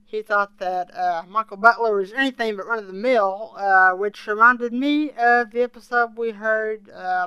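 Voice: medium pitch, a bit bright, clean tone, no echo, poor audio. A podcast co-host chatting casually in a somewhat hesitant, conversational way about a show they follow. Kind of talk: casual fan discussion of an audio drama series.

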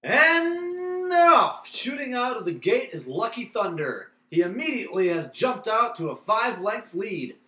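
A man playing an excited, grandiose horse-race announcer in a radio play. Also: high voice, measured pace, hardly any pauses, full character voice. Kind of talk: old-time sports commentary in a radio play. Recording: roomy, echoing recording.

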